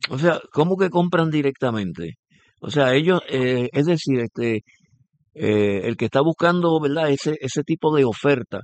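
A man's voice: mid-range voice, lively intonation, a little slow, dry recording. A man hosting a business radio show, interviewing a guest and often rephrasing his questions with fillers. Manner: repeats and rephrases, many fillers.

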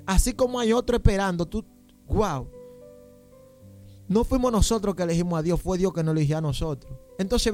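A man's voice: high voice, a little mellow. A man preaching a fervent sermon, pleading and calling out praise between phrases. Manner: exclaims "gloria a Dios" and "aleluya" constantly, pleading. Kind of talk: fervent Pentecostal-style sermon.

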